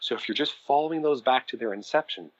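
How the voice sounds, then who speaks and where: A man's voice: mid-range voice, fast, no echo, muffled recording. A man who produces audio dramas, a guest on a podcast, explaining things in an enthusiastic way. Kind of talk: casual podcast conversation.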